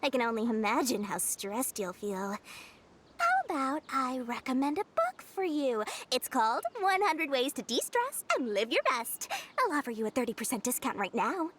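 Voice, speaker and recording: A woman's voice: sits high, sing-song, normal speed, a bit bright, slight background noise. An actress voicing a pushy woman bookseller in a video game dub, chirpy and salesy.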